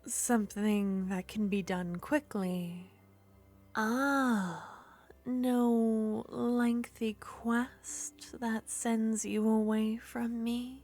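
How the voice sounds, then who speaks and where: A woman performing an ASMR roleplay as a jealous, possessive witch, speaking softly and often whispering. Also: fairly high pitch, fairly even intonation, very slow, soft background music. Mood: teasing. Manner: soft-spoken, teasing, often whispering. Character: jealous possessive young witch, teasing and haughty.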